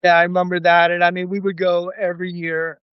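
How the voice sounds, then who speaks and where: A man speaks as an interview guest, with a smooth, clear voice a little higher than most men's. His answers are relaxed and meandering, with fillers along the way. The recording is dry and close. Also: quick pace, no room sound, muffled recording. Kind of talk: casual conversation.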